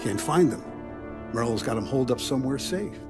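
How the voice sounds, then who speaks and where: An actor playing a calm, calculating mafia boss in a video game, measured and authoritative. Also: male voice, medium pitch, over loud music, close to an everyday voice. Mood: calm, scheming. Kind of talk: video game cutscene acting.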